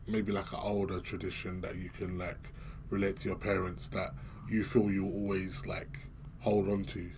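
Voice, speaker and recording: A young man hosting a podcast in a slightly low, relaxed voice. He chats in easygoing slang and asks questions without hurry. The overall feel is casual, as if talking with a friend. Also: normal speed, noisy background. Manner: laid-back slangy talk. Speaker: podcast host. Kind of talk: casual interview and show outro.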